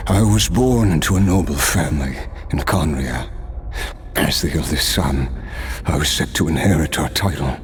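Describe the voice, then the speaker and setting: A man playing a weary, courteous, ailing noble swordsman of great age in a video game, speaking in a measured, formal way. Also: a bass voice. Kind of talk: dramatic video game voice acting.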